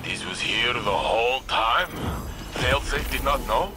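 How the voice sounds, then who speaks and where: A man playing a steady, protective warrior ally in a video game, formal and solemn. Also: medium pitch, measured pace, over music, lightly acted. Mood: surprised. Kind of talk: scripted video game dialogue.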